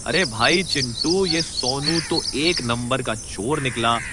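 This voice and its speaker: A man's voice: mid-range voice, slow pace, heavy background noise, lightly acted. A man voicing a gullible, greedy village labourer in a cartoon story, with an exaggerated, exclaiming delivery. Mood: scornful surprise. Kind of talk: Hindi cartoon moral story dialogue.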